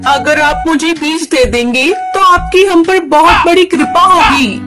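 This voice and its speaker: A woman performs a practical, caring little bird wife from a children's cartoon. The voice sits extremely high and speaks in an earnest, homely way, as if explaining household plans. Music plays clearly behind it. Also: unhurried. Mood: polite, pleading. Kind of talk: Hindi cartoon story voice acting.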